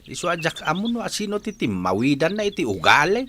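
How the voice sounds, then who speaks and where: A man's voice: a little high, very animated, fast, pushed and bright, slight background noise. An actor playing a grumbling, scornful old village husband and father in a radio drama.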